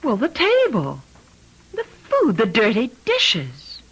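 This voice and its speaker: An actress playing a bewildered, high-strung woman losing her grip in an old-time radio mystery, questioning in agitation. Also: wildly swooping pitch, slow, a bit bright, slightly rough, full character voice.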